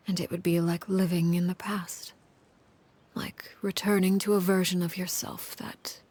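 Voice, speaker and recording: An actress playing a cold, commanding woman who rules an orphan household, speaking in a low, husky, hushed and measured way in a video game. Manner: calm, measured, menacing.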